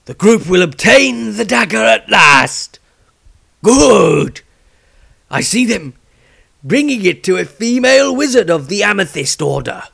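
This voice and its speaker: A man voicing an elder male wizard magister who slowly declaims his visions of the future. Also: high voice, sing-song, vocal fry, fairly bright tone, pitched above the performer's own voice, heavily acted. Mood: prophetic.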